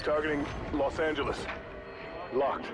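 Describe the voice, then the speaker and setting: A man's voice: a little high, steady tone, a little slow, close to an everyday voice. A man acting a grave, methodical space mission controller in a military shooter game. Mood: grim, measured. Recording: very loud music behind.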